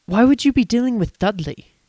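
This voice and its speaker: A man's voice: very high-pitched, animated, fairly quick, warm tone, dry recording, clean studio sound. A man voicing a snappish young woman in a dramatic story reading. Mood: sharp, suspicious. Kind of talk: dramatic fan fiction reading.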